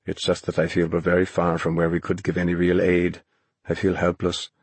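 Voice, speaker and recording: Man playing a glum, low-voiced young man. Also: fairly even intonation, normal speed, darkish tone, slightly rough, no echo. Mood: dejected. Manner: subdued, dejected, apologetic. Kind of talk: audiobook character voice.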